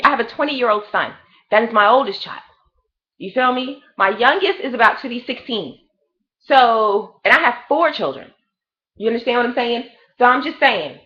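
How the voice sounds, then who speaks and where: A woman's voice: a little high, animated, fairly quick, bright, forceful tone, dull audio. A woman in her late thirties giving a blunt, profane, rambling gossip review of a reality show in a casual chat. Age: 30s.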